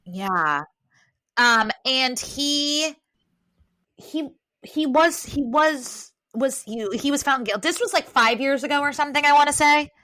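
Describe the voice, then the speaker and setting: A woman guest chatting animatedly on a podcast, loud and rambling, with many fillers, speaking close to her microphone. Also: sits high, a little slow, no echo. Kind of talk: casual chat. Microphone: close to a desk microphone.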